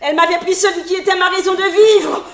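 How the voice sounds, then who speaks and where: An actress playing a passionate, vengeful murderess who poses as a meek servant, in an intense, theatrical old French radio drama style. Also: female voice, very high voice, fairly even intonation, fast talker, roomy sound. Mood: hysterical triumph.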